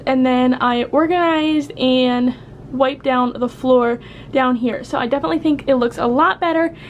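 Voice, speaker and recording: A woman's voice: sits high, a little hiss or hum. A young woman with a head cold and a stuffy voice, chatting casually to camera about organizing her kitchen, upbeat and friendly. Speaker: vlogger. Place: home kitchen.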